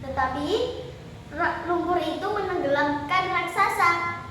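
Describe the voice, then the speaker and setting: Female storyteller, very high voice, declamatory folktale recital, recorded far from the mic in reverberant space. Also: measured pace, pushed and bright. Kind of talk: memorised dramatic folktale storytelling. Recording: echoey hall, distant mic, faint noise.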